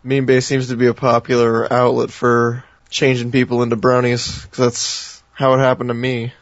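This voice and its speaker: A male radio co-host asks casual questions and makes small jokes. His delivery stays flat, with little rise or fall. The sound is dull and lo-fi. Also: medium pitch, normal speed, no echo. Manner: joking, steady delivery.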